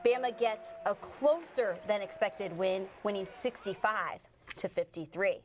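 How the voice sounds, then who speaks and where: A woman with an American accent co-anchoring a sportscast, narrating game highlights with light banter. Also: a little slow, slight background noise. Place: TV studio.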